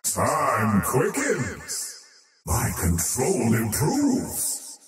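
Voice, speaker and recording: An actor playing a power-hungry, faceless void creature for a video game, slow, portentous and theatrical in his gloating menace. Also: medium pitch, huge pitch swings, full character voice. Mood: ominous, gloating. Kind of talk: scripted video game character voice lines. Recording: heavily echoing, distant, loud music behind.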